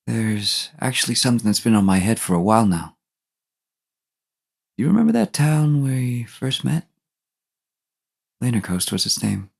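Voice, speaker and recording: A man playing a tender, mysterious dead lover in an audio drama, speaking softly and deliberately with a husky, wistful voice. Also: medium pitch, lively intonation. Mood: wistful, fond. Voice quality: husky, gravelly.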